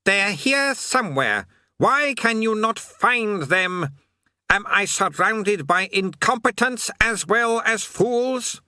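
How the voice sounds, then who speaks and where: A rasping, imperious lord of hell, put on by a male narrator, high and slow with biting contempt. Each word is drawn out and sharp, in a bright tone. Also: animated, dry recording, clean studio sound, full character voice. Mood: furious.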